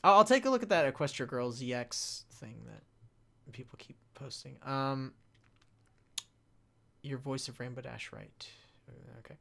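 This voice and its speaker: A young man chatting casually and off the cuff as a panelist, haltingly reading out chat questions and answering them. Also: mid-range voice, lively intonation, gravelly, bright, forceful tone.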